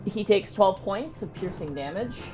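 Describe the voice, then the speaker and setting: A woman's voice: mid-range voice, lively intonation, moderate pace. A woman talking casually as a player in a tabletop role-playing game, calling out moves and rolls. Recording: music playing behind the voice.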